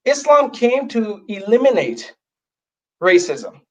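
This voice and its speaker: Blunt, high-voiced Canadian man. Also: big pitch swings, takes it slowly. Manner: direct, emphatic, speaks bluntly. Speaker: guest, youth advocate. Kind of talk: livestream conversation.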